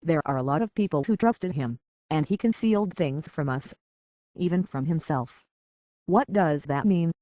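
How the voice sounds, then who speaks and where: An actress playing an earnest, conflicted young woman in a video game, speaking hesitantly and with a soft heart. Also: sits low, expressive, normal speed, dry recording. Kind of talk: video game character dialogue.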